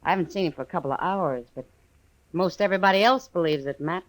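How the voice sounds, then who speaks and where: A woman's voice: fairly low pitch, fast talker, lightly acted. An American actress playing a shrewd, self-assured frontier woman, wry and direct, in an old-time radio western. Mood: knowing, concerned.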